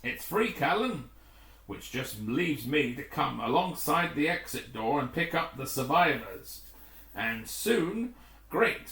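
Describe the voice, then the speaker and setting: A brisk, decisive rescue pilot acted by a male storyteller snaps out urgent, clipped orders in adventure-story dialogue. The pitch jumps about animatedly, and the room is audibly echoey. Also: gravelly, fairly bright tone, recorded from a distance, heavily acted. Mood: relieved. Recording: echoey, roomy home recording.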